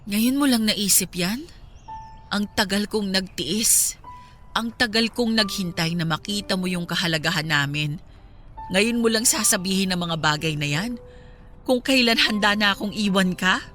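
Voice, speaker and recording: A hurt but resolute Filipino mother acted by a woman in a radio drama. She speaks firmly and reproachfully in a bright, forceful voice. Faint music plays behind her. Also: medium pitch, moderate pace, lightly acted.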